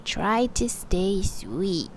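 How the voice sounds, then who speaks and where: Man putting on a very slow, gentle granny grape. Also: high voice, sing-song, noisy background, pitched above the performer's own voice, heavily acted. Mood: gentle, wise. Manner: slow, drawn-out, earnest. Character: gentle, wise old grandmother grape.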